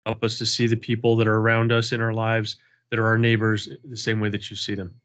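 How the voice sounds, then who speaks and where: A man's voice: lowish voice, monotone, fast, fairly bright tone. A man leading a Bible study on a video call, praying earnestly and chatting casually, with a slight cold. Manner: earnest, repetitive prayer cadence. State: has a cold, sniffling. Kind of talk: prayer and casual group talk. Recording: dull lo-fi video call audio.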